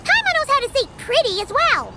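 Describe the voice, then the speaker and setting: A woman voicing a tiny, bubbly, cheeky childlike fairy companion with a squeaky voice in a video game. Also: sing-song, fairly quick. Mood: excited. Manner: exclamatory, refers to self by name.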